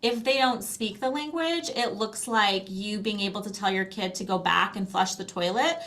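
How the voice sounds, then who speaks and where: Female interview guest, mid-range pitch, conversational explainer, anecdotal, tag questions. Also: normal speed, a bit bright. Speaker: interview guest, company founder.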